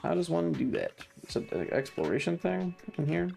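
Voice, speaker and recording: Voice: medium pitch, sing-song, moderate pace, creaky, a little mellow. A streamer chatting casually while playing a game, with a sarcastic, profane manner. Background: music.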